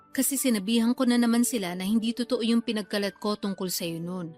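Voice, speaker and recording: An actress plays a brazen older sister with a high voice, a new grandmother who wheedles and gets defensive. She speaks in colloquial radio-drama style, with music underneath. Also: a little mellow, close to an everyday voice.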